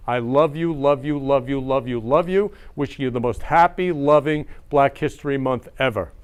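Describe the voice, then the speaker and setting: A man's voice: mid-range voice, smooth. A male host interviewing a guest, gushing and lavish with praise, often repeating his compliments. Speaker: show host. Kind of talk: effusive interview chat.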